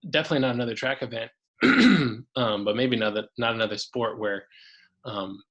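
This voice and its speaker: A man's voice: mid-range voice, steady tone, brisk, a bit bright, lo-fi sound. A man, a coach and podcast guest, talking casually and enthusiastically, often restarting his sentences.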